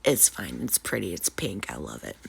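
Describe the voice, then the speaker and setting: Low, gravelly-voiced woman with a cold. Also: big pitch swings, moderate pace. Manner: casual, chatty, with frequent "uh" and "um". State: sniffly with a cold. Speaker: craft channel host. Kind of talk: DIY craft tutorial narration.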